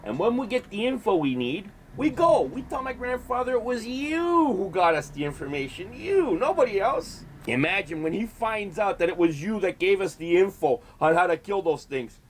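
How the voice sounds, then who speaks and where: An actor playing a brash, foul-mouthed young monster hunter in a comedy horror audio drama, streetwise and exasperated. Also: male voice, sits high, sing-song, moderate pace, hardly any pauses, a little hiss or hum. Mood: coaxing.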